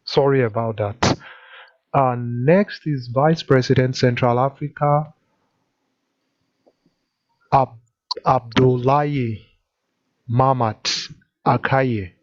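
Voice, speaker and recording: A man moderating an online election meeting, speaking politely with halting restarts. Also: medium pitch. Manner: slow, halting, polite, apologetic. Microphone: video call. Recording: muffled online call audio.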